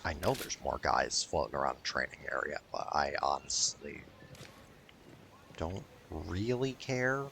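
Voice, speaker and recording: Male gamer, dry and grumbling, deep unhurried delivery, casual playthrough commentary over noisy game audio. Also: expressive, creaky, sharp tone. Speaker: gaming commentator. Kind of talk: casual let's-play commentary.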